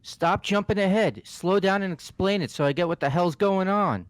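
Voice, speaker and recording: A man's voice: high voice, moderate pace, no echo, close to an everyday voice. A man voicing a brash, impatient teenage tough guy in a fan-dubbed mystery game, blunt and foul-mouthed. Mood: irritated. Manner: blunt, gruff phrasing.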